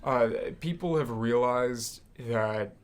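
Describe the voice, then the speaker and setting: A man's voice: medium pitch, slow. Young American man chatting on a podcast. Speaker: podcast co-host. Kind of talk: casual chat.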